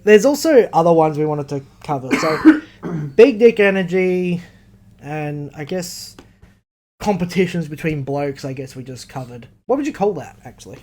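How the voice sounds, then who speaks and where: A man's voice: on the high side, expressive, moderate pace, darkish tone, slight background noise. An Australian man co-hosting a podcast, bantering casually with a blunt, emphatic delivery and plenty of swearing. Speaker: podcast co-host. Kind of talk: casual banter between mates.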